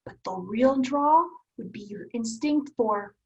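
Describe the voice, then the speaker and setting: A woman's voice: fairly high pitch, measured pace, vocal fry, a little hiss or hum. An actress playing a bossy, sardonic young woman leading her friends, in a dry screenplay table-read delivery. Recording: slightly dull remote call audio.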